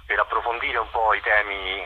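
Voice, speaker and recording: A man speaking as a guest in a radio phone interview, explaining his work in a halting, conversational way. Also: fairly low pitch, flat delivery, husky. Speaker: photographer, exhibition guest. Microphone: telephone. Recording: dull, noisy phone line.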